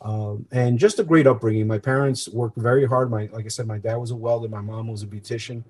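A middle-aged man raised in New Jersey speaks as a guest in an interview. His delivery is conversational and earnest, with a medium-pitched voice that moves along like personal storytelling. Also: fairly even intonation, brisk, a little mellow. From born in Colombia, grew up in New Jersey.

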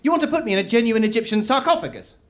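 A man's voice: extremely high pitch, lively intonation, rapid-fire. A man acting in a live audio comedy as a self-important, misunderstood writer, earnest and petulant, with a hesitant delivery. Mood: uneasy, incredulous. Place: live on stage at a festival venue.

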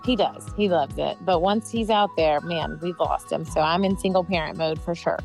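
A woman's voice: on the low side, normal speed, vocal fry, a little mellow. Woman, co-host, on the phone, playful teasing banter, faint music behind. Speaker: podcast co-host. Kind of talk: casual chat.

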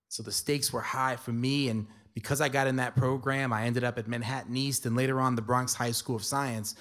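A middle-aged man from New York tells a personal story in a conversational, emphatic way, tossing in playful asides. His voice sits at an ordinary middle pitch. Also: a bit bright. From New York City.

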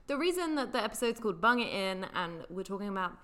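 A woman's voice: mid-range voice, very animated, fast, a bit bright. A woman co-hosting a podcast, casually explaining slang words in a teasing, playfully correcting way. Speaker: podcast co-host.

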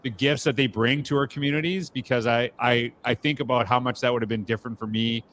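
A man hosting a podcast, speaking in a relaxed, reflective way and often restarting his sentences. His voice sits at a middle pitch. A faint ambient hum runs beneath it. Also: quick pace. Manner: conversational, reflective, many fillers and restarts. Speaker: podcast host. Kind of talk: casual interview chat.

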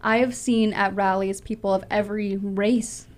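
A woman's voice: mid-range voice, steady tone, normal speed. Young woman, public speaker, earnest, passionate, big voice. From Canada. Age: young adult. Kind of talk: interview answers.

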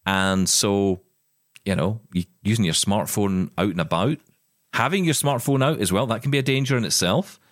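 A man's voice: fairly low pitch, normal speed, no room sound, clean studio sound. A man co-hosting a podcast, chatting casually in a rambling way and often repeating words as he starts sentences. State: blind, describing progressive vision loss. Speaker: podcast co-host. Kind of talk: casual chat.

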